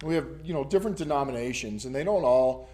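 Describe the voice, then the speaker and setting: A man's voice: mid-range voice, lively intonation, quick pace, dark tone. Scratchy-voiced man leading a Bible study. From United States. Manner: rambling, many ums and restarts. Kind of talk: casual teaching to a small group. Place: church classroom. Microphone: camera recording.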